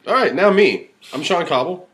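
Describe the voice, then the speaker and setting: A man's voice: high voice, animated, moderate pace, fairly bright tone, slight background noise. A young man co-hosting a podcast, chatting casually, rambling and trailing off mid-thought. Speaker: podcast co-host. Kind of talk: casual chat.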